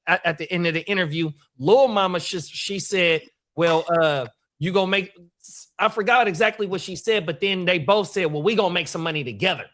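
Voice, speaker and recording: A man with a fairly high voice telling animated, slangy stories on a casual podcast. He keeps dropping quick affirmations as he goes. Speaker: podcast co-host.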